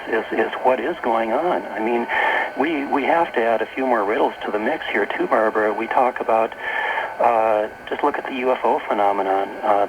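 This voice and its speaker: An American man speaking as a radio interview guest, explaining haltingly and rephrasing as he goes, on a noisy shortwave tape. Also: mid-range voice. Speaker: talk show guest, author and workshop leader. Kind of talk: unscripted radio interview talk.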